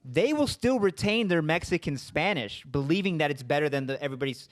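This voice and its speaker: A man's voice: on the high side, animated, brisk. A man hosting a podcast interview, talking casually with lots of restarts and fillers. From Puerto Rican family, raised in US. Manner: rambling, many restarts and fillers. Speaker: podcast host.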